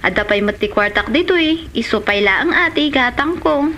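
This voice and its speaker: A woman's voice: fairly high pitch, expressive, fairly quick, a bit bright. A woman acting a helpful, generous grown daughter in an Ilocano comedy drama. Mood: obliging. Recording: dull lo-fi sound, faint noise.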